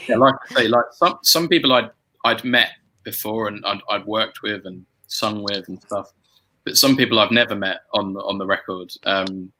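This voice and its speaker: Bright-voiced man chatting, fairly low pitch. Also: poor audio. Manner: hesitant, halting, repeating words as he goes. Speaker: musician and song arranger hosting a group chat. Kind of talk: casual conversational chat about music-making.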